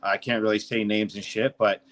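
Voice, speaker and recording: A man in his thirties talking casually and off the cuff, emphatic, boastful and quick to swear. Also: fairly low pitch, flat delivery, fairly bright tone. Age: 30s. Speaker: financial consultant and podcast speaker. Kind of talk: casual unscripted talk.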